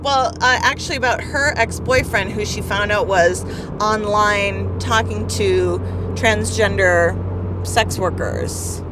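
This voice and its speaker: A woman's voice: medium pitch, slow pace. A woman co-hosting a podcast, telling candid, profane dating stories in casual chat. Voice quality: blunt, profane, laughing storyteller. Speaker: podcast co-host. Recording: loud background noise.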